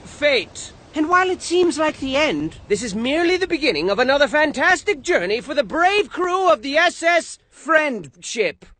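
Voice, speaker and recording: A man's voice: very high voice, sing-song, moderate pace, hardly any pauses, sharp tone, slight background noise. A man narrating a comedic cartoon storybook for a game, theatrical and mock-grandiose, with a manic, rambling delivery. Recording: music behind the voice. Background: music.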